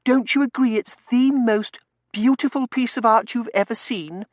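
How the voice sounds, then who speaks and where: A man's voice: moderate pace, no room sound. A man voicing a spoiled, petulant young lady in an audiobook, indignant and imperious. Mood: gushing, excited. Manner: shrill, indignant, imperious, gushing. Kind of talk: audiobook character voice.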